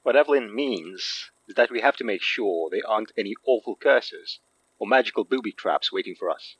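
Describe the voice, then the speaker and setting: A man's voice: on the low side, lively intonation, fairly quick, breathy edge, no echo, dull audio. A man acting a wry, cocky, protective occult sleuth in a naturalistic audio drama. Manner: sarcastic, cocky.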